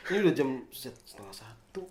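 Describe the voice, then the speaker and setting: A man's voice: mid-range voice, sing-song, a little fast, crowd noise. A man with a Jakarta Indonesian accent telling tales as an interview guest in slangy, mock-earnest casual speech. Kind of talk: casual comic storytelling.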